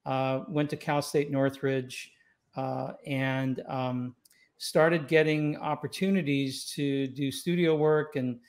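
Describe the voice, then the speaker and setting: A man in his sixties, born in Scotland and raised in Los Angeles, telling stories as a musician guest in an easygoing, reflective way, with plenty of fillers and restarts. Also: fairly high pitch. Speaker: saxophonist guest. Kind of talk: relaxed storytelling interview. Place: home room with wooden doors and shelves. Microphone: studio mic on boom arm, video call.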